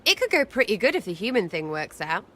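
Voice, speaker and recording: A woman's voice: medium pitch, sing-song, fast talker, a bit bright. Cheeky, teasing elf girl. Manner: playful, teasing, crude slang. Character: cheeky irreverent young elf woman. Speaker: companion character.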